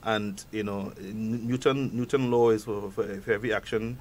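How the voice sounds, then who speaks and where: Halting man with a Trinidadian accent. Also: on the low side, steady tone, a little mellow, slight background noise. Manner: halting, repeats words often. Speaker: guest expert. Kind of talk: talk show discussion.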